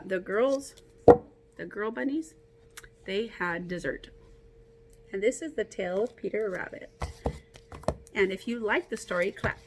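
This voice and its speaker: A woman teacher reading a story aloud to children, expressive and encouraging, with casual asides to her young listeners. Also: mid-range voice, a little hiss or hum.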